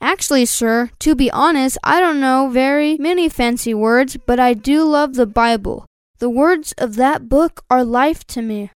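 A man's voice: very high voice, measured pace, clear, resonant tone, no room sound, pitched above the performer's own voice. A man voicing a cheerful, earnest young male skunk in a children's story, speaking simply and politely. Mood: humble, earnest.

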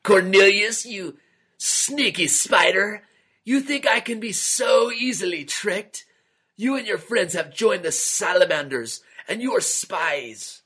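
Vicious storybook snake king, shrill and husky, voiced by a male reader. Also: lively intonation, slow pace, sharp tone, heavily acted. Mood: angry accusing.

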